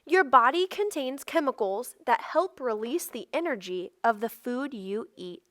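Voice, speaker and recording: A woman with a fairly high voice reads educational non-fiction aloud for children. She goes slowly and instructionally, in short separate phrases, and the recording is dry and close. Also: animated, a bit bright, no room sound. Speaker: read-aloud narrator.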